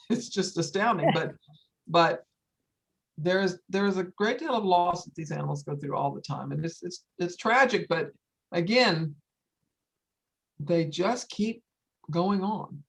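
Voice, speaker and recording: A woman's voice: fairly low pitch, a little slow, creaky, long pauses, rough recording. An older woman speaking earnestly and informally to an audience, stressing her points by repeating phrases. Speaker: wildlife sanctuary founder hosting a story time.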